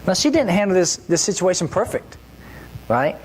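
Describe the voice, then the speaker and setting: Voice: mid-range voice, big pitch swings, moderate pace, a little hiss or hum. A preacher giving a church sermon in a conversational way, often asking the listeners rhetorical questions.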